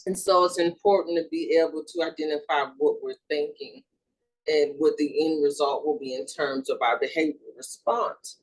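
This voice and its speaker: A woman in her thirties from Houston, a grief and trauma therapist, giving an earnest, measured presentation with small fillers and self-corrections. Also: sits low, fairly even intonation, low-quality recording. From Houston, Texas. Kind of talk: recorded educational presentation.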